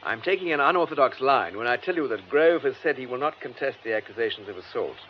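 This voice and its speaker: A man's voice: mid-range voice, animated, natural voice. Male actor as composed English detective superintendent, radio drama. Formal, methodical, varied intonation, slightly noisy recording. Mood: probing, composed. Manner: measured, formal, precise delivery. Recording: muffled lo-fi radio drama, faint noise.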